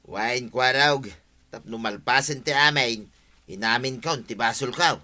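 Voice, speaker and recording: An actor with an Ilocano accent playing a defensive, sheepish village husband in a radio comedy drama. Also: male voice, mid-range voice, unhurried, bright, forceful tone. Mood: resigned.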